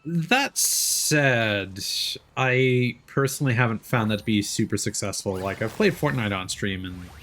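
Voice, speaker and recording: A man's voice: on the low side, animated, unhurried, fairly bright tone. Casual man streaming a video game. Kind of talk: casual gaming livestream commentary.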